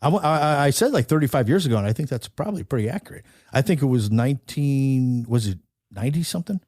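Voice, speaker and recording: A man's voice: mid-range voice, lively intonation, fast talker, a little mellow, no room sound, studio quality. A middle-aged or older man co-hosting a podcast in casual conversational chat. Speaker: podcast co-host.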